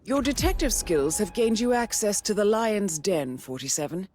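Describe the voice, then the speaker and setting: A woman's voice: normal speed, a little hiss or hum, lightly acted. An actress playing a poised female mission handler, speaking in a composed, dry-witted way over the radio in a game. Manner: composed and wry, with a lively pitch. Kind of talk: video game dialogue.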